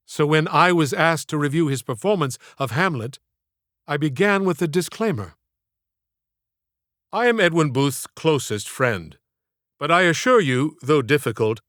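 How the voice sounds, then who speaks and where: A man reading his own literary audiobook in a theatrical, measured storytelling manner. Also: fairly high pitch, a bit bright, no room sound, clean studio sound. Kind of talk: scripted literary narration.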